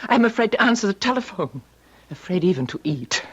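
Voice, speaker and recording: A woman's voice: mid-range voice, sing-song, fairly quick, husky, highs cut off. A woman acting in an old radio drama as a frightened, theatrical young ballerina, pleading. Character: frightened, melodramatic young Russian ballerina who defected.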